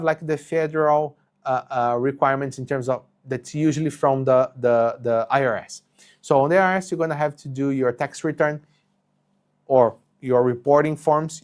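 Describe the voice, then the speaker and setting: A man's voice: medium pitch, darkish tone. Portuguese-native guy in his 30s doing a live q&a, talky, lots of uh and okay?. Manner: conversational, many fillers and restarts, checks in with "okay?". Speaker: company co-founder answering live questions. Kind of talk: live Q&A explaining business rules. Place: home studio with shelves and green lighting.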